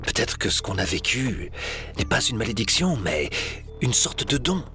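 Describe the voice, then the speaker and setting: A man's voice: medium pitch, sing-song, music in the background, close to an everyday voice. A man playing a serious, haunted young man who speaks hesitantly in an audio drama. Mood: tender, hopeful. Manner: hesitant, halting, repeating words. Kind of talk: French audio drama acting.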